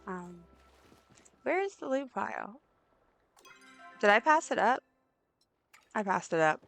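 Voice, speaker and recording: A woman with a raspy voice chatting casually while streaming a game, rambling with lots of ums and likes. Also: medium pitch, sing-song, takes it slowly. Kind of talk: casual live gameplay chat. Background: soft game music.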